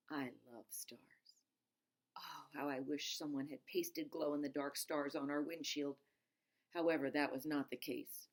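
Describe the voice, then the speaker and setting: A woman reading a storybook aloud in an expressive, emphatic narrating style. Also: sits low. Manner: dramatic, emphatic, stops to explain words. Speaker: storybook reader.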